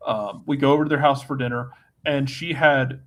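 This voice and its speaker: A man with a medium-pitched voice chats as a panelist on a sports show. He speaks in a wry, anecdotal manner, like casual storytelling among colleagues. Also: fairly even intonation, normal speed, fairly bright tone.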